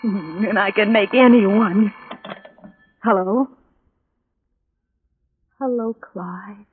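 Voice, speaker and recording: Slow, cold, scheming radio villainess. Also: female voice, a little high, slightly rough, narrow, tinny audio. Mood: coldly triumphant. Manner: slow, deliberate, feigned sweet sympathy. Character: cold possessive scheming young woman, sweetly false. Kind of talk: 1940s radio suspense acting. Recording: band-limited old-time radio recording.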